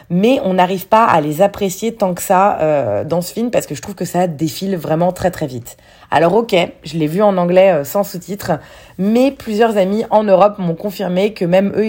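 Low-voiced woman reviewing films. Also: a little mellow. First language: French. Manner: opinionated, with filler hesitations. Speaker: film reviewer. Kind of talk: casual film review.

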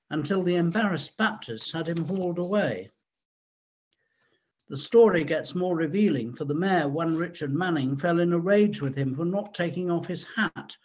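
A man reading a researched historical account aloud in a measured, formal way, with the occasional stumble. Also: a little high, husky. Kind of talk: scripted historical narration, read aloud.

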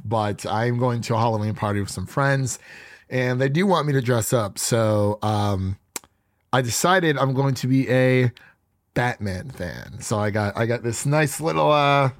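A man hosting a podcast in casual chat, his voice raspy and stuffy from allergies, rambling with restarts. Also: mid-range voice, lively intonation, normal speed, dry recording, clean studio sound. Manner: chatty, rambling with frequent restarts. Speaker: podcast host.

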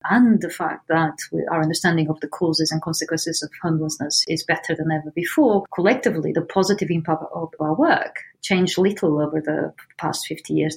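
Low-voiced Portuguese-accented woman. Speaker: founder of a homelessness research organization. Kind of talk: interview answers.

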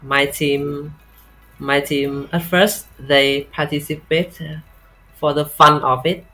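A Vietnamese-accented man speaks as a podcast guest in a halting, unhurried way. His voice is bright and sharp, with a little music in the background. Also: on the high side, little pitch movement. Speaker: podcast guest, software tester. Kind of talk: casual interview talk.

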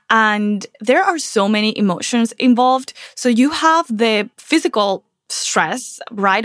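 A woman's voice: fairly high pitch, fairly bright tone, no echo, studio quality. Earnest woman podcast host from Venezuela. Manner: earnest, reflective storytelling. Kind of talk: casual solo podcast talk.

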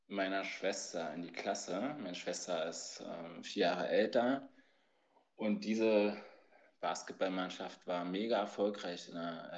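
A man's voice: low-pitched, lo-fi sound. A man in his forties from Berlin, a youth basketball coach telling stories as a podcast guest, a bit tired and hesitant. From Berlin, Germany. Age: 40s. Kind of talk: casual storytelling.

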